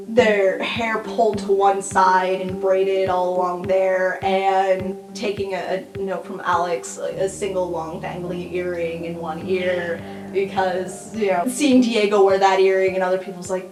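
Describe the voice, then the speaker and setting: Female roleplay player, casual improvised delivery, middling pitch, echoey room with clear music behind. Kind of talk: casual improvised character description.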